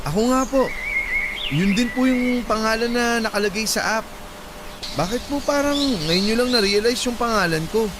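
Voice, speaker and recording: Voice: medium pitch, normal speed, background noise, close to an everyday voice. An actor playing a friendly, polite young male motorcycle taxi rider in a dramatized audio love story, concerned and teasing. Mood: polite, joking.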